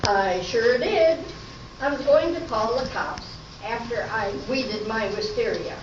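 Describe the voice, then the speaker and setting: A plucky, matter-of-fact lady of the house, acted by a woman in a comic radio play. Her fairly high voice recites carefully, far from the mic in an echoey, noisy room. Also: lively intonation. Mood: plucky, proud. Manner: deliberate alliterative recitation. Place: hall at a live arts festival performance. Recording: echoey, distant, noisy dull live recording.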